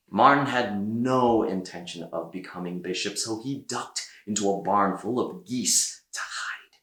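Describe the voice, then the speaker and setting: A man reading a children's story aloud as an expressive storyteller, with dramatic pauses. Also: medium pitch, roomy sound. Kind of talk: animated children's read-aloud narration.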